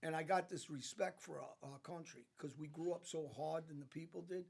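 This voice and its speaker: American guy, gravelly, kinda high voice, emphatic storytelling, restarts a lot. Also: a little fast, darkish tone. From United States. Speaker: podcast guest. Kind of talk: casual conversation.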